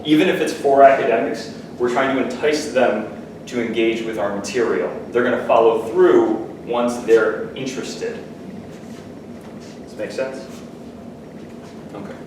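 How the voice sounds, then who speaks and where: Man teaching a workshop in an echoey room. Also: lowish voice. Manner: conversational, checks in with listeners. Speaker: workshop presenter. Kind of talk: casual teaching talk to a class. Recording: echoey room, distant mic, background noise.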